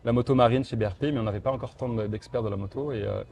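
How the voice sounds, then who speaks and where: A man's voice: medium pitch, very fast, creaky, a little mellow, a little hiss or hum. A man representing a motorcycle brand, answering interview questions in a casual, hesitant way, with many fillers and restarts.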